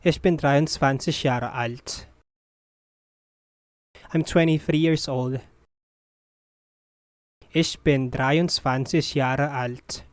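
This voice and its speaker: A teacher's voice slowly repeating short phrases in a language drill, each one said clearly and evenly. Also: mid-range voice, steady tone, dry recording, clean studio sound. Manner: deliberate, evenly paced repetition of short phrases.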